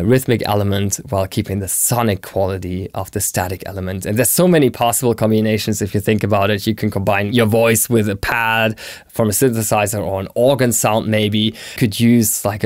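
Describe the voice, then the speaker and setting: A man's voice: on the low side, a little mellow, dry recording. Man hosting a tutorial, dry studio sound. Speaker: tutorial host. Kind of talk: explanatory software tutorial, conversational. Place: small home studio room, indoors.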